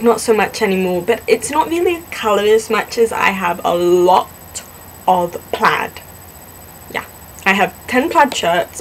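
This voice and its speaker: A young woman, born in Singapore and raised in the southwestern US, casually answering questions about herself to a camera, chatty and self-deprecating with many pauses and ums. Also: on the high side, a little hiss or hum. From born in Singapore, grew up in the southwest US. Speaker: vlogger in a fan collab channel.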